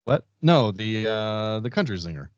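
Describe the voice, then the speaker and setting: A man's voice: lowish voice, very animated, measured pace, clean tone, dry recording. A man co-hosting a podcast in casual, easygoing chat, on a slightly dull recording. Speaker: podcast co-host. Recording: slightly dull, muffled sound.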